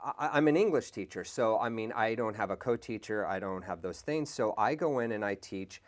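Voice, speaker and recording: A man who teaches English, talking casually in an interview, relaxed and rambling with many restarts. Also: on the low side, normal speed.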